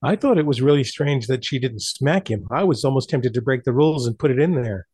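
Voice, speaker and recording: A man with a mid-pitched voice talks casually in a group, as the presenter of the evening. The sound is dry, with very little room on it. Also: animated, fairly quick, a little mellow, slightly rough, no room sound. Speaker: presenter of an online old-time radio night.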